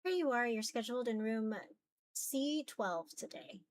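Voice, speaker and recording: A woman's voice: fairly high pitch, animated, measured pace, a little hiss or hum. A woman voicing a polite, efficient female receptionist with formal customer-service manners in a visual novel.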